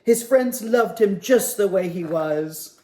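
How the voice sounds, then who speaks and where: A man reading a picture book aloud at a children's story time, with a gentle, expressive manner. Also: high voice, slow, poor audio.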